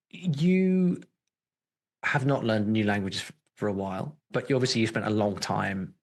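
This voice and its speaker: A man's voice: fairly low pitch, a little slow. Chatty male podcast host. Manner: thinks aloud with many restarts and fillers. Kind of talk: casual podcast interview.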